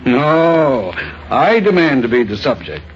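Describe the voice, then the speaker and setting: An actor playing a sceptical, commanding scientist and inventor in an old-time radio drama, speaking with emphatic demands. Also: male voice, medium pitch, very animated, slow. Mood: challenging. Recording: dull old radio recording, music behind.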